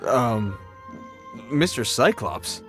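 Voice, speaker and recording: An earnest guard on patrol, with a medium-pitched, very expressive voice. He speaks formally and a bit awkwardly, like a video game side character, with music clearly playing behind him. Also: very slow. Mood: flustered. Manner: formal, hesitant, stammering when flustered. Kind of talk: animated video game voice acting.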